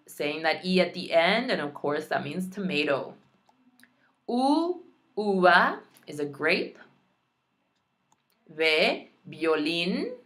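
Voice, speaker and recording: A woman with a low voice walks through a vocabulary list at an unhurried pace. She is a young adult and a heritage speaker of Spanish. She pronounces each word with care and drops in light, playful remarks. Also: a bit bright. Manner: slow, careful pronunciation with playful asides. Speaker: Spanish teacher. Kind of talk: recorded vocabulary lesson.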